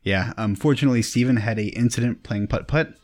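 A man's voice: mid-range voice, fast, a little mellow. A man hosting a casual podcast, teasing his guest and swearing freely. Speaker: podcast host.

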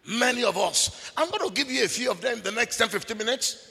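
A man preaches in a very high, gravelly voice, pressing each point home with repetition and sudden shouts. His sermon rings out with the long reverb of a large hall. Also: lively intonation, fast. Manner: emphatic, repetitive, bursts of shouting.

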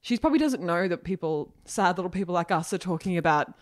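Chatty Australian-accented woman, rather low voice. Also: expressive, fast talker. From Ireland. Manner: chatty, rambling, full of "like" fillers. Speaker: guest journalist. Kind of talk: casual podcast chat.

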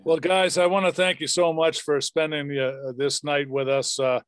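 Guy hosting a podcast, kinda higher voice, super dry sound, casual and thankful. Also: little pitch movement, normal speed, smooth, dry recording. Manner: appreciative, thanks guests repeatedly. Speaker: podcast host. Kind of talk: casual interview sign-off.

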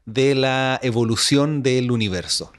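A man's voice: medium pitch, unhurried. A man hosting an interview podcast, chatty and eager, who thinks aloud as he asks questions. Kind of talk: conversational interview.

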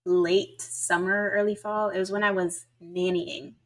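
A woman's voice: medium pitch, level tone, a little slow. A woman in her twenties co-hosting a podcast, telling stories in a chatty way full of fillers. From United States. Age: 20s. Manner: chatty, filler-heavy storytelling. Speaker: podcast co-host. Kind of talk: casual chat.

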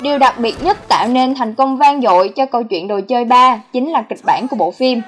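A woman's voice: on the high side, brisk, music in the background. A woman reading a scripted voice-over narration as the narrator of a countdown video. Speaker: narrator of a film countdown channel.

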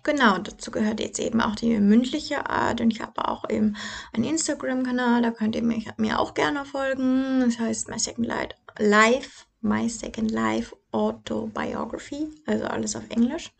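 Young female podcast host, casual and halting, slightly high, flat voice. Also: gravelly, breathy edge. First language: German. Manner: hesitant, many fillers and restarts. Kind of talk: casual personal monologue.

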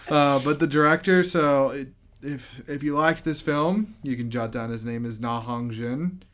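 A man's voice: medium pitch, a little slow, a bit bright. Laid-back man reading out film credits. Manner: chatty, reads off film credits and scores, laughs often. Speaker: podcast co-host. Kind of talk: casual movie review chat.

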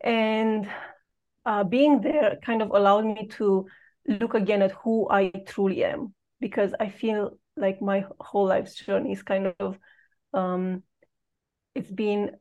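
A woman in her late thirties from Romania, a podcast guest telling her personal story in a halting, reflective way with many pauses. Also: medium pitch, fairly even intonation, darkish tone, dull audio.

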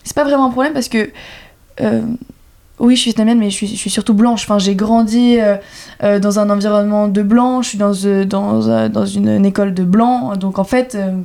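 A woman's voice: mid-range voice, fairly even intonation, brisk, creaky, mellow. A young woman talking in a casual conversation, hesitant and searching for words. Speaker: younger guest.

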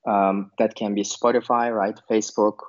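A man from Turkey with a low voice answers interview questions in a loose, searching way, full of fillers and restarts. The recording is dull and lo-fi. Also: level tone, normal speed. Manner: thinks aloud with many fillers and restarts. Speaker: podcast guest, product manager. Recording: dull lo-fi sound.

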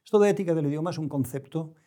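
Man, middle-aged, mellow, unhurried, reflective, restarts mid-phrase. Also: fairly high pitch. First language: Spanish. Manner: measured, searching for words, frequent restarts. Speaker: novelist, interview guest. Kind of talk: reflective interview talk.

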